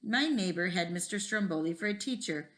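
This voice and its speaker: An easygoing storybook man who teaches computers , as read by a woman. The delivery is folksy and explanatory, with lively rises and falls in pitch. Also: a little fast, close to an everyday voice. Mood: reminiscing. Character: friendly, joking male computer teacher, easygoing.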